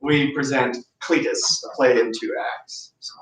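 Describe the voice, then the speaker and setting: A man giving an informal, rambling, halting introduction as a theatre host. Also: medium pitch, steady tone. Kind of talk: informal unscripted announcement. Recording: echoey room, distant mic, audience noise.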